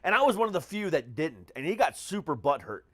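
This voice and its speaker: A man's voice: fairly high pitch, very animated, fairly quick, fairly bright tone, dry recording. A man hosting a podcast in casual conversation, talking in an emphatic, slangy way with frequent swearing. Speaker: podcast host. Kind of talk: casual chat.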